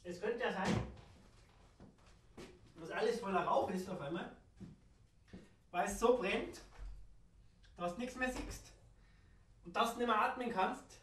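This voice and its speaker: A woman's voice: mid-range voice, measured pace, long pauses, slight background noise. A woman with a southern German or Austrian accent performing an improvised, absurdist spoken monologue with playful, rambling repetition. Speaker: radio play performer. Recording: roomy echo, distant microphone.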